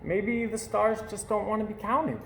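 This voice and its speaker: A man voicing a curious, sleepless young boy who asks soft, hopeful questions, recorded in an echoey room with a faint hiss. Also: high-pitched, steady tone, measured pace, vocal fry, pitched above the performer's own voice. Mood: wondering.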